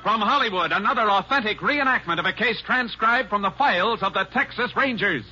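A man announcing a 1950s radio drama in a formal, booming delivery. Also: a little fast. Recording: lo-fi old radio with loud theme music.

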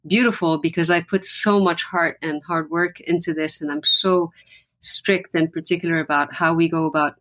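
A woman from Lebanon speaks as a musician guest in a conversational interview. Her voice sits slightly low, and she talks in an earnest, reflective way, often restarting her sentences. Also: fairly even intonation, moderate pace, a bit bright, poor audio.

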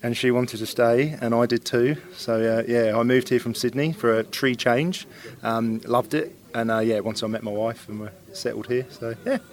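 A man from England with an English accent, chatting casually in an interview as a new citizen, relaxed with ums and yeahs. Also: medium pitch, moderate pace.

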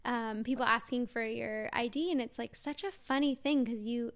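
A woman's voice: fairly high pitch, moderate pace, dry recording. A woman co-hosting a podcast, a youthful-sounding voice, chatting in a rambling way full of likes and restarts. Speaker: podcast co-host. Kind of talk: casual chat.

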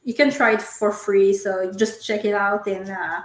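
A woman's voice: medium pitch, little pitch movement, unhurried. A woman who is not an English speaker answering interview questions, hesitant with many uhs and restarts. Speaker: guest, company sales contact. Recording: roomy echo.

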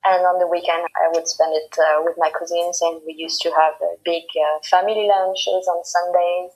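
A woman in her thirties, raised in France, answering interview questions softly, pausing and repeating words now and then, over a phone call. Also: fairly low pitch, flat delivery. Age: 30s. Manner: soft-spoken, with some hesitant fillers and repeated words. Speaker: podcast guest, podiatrist. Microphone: phone over a messaging-app call.

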